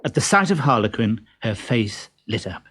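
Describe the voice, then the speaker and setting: A man reading a children's story as scripted, expressive narration. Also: medium pitch, moderate pace, slightly rough.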